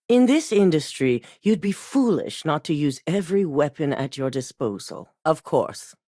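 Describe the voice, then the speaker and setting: An actress playing a ruthless middle-aged female executive in a video game, speaking in a measured, clipped, coldly authoritative way. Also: sits low, darkish tone, dry recording, clean studio sound. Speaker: company boss giving missions.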